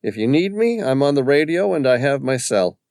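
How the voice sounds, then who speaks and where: A man's voice: medium pitch, very animated, moderate pace, a little mellow, no echo, studio quality, voice pushed up. A male narrator voicing an easygoing, joking male paramedic in a storybook reading.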